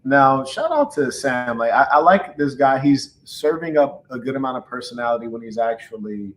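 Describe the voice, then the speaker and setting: A man's voice: mid-range voice, sing-song, normal speed, roomy sound, a little hiss or hum, rough recording. A man hosting a casual reaction chat, talking bluntly and teasing his co-host. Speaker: podcast host.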